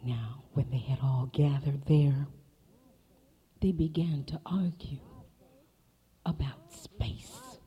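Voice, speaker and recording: A woman's voice: extremely low pitch, takes it slowly, long pauses, mellow, husky. A woman telling folk tales to an audience, dramatic, dropping into whispers for effect. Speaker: storyteller. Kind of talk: folk tale storytelling performance.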